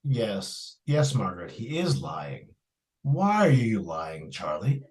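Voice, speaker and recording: A man's voice: fairly low pitch, very animated, takes it slowly, a little mellow. A man playing a stern, exasperated father in an old-time radio suspense drama. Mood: grim, accusing.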